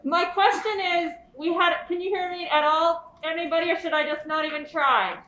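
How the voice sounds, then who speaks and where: Woman board member, muffled by a mask, high bright voice, loud and questioning, echoey room. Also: fairly even intonation, normal speed, a little hiss or hum. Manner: speaks up loudly through a mask, questioning. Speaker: selectboard member. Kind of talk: meeting discussion. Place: town meeting room.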